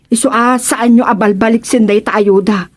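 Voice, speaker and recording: An actress plays a small-village councilwoman in an Ilocano radio comedy. Her voice is high, and she speaks to her neighbors with earnest civic pride. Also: fairly even intonation, moderate pace, natural voice. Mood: scolding.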